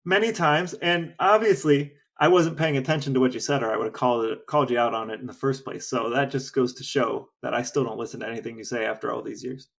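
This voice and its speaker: A man's voice: mid-range voice, steady tone, fast, creaky, hardly any pauses. A man co-hosting a podcast, chatting casually in a wry, teasing way. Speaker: podcast co-host. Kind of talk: casual chat.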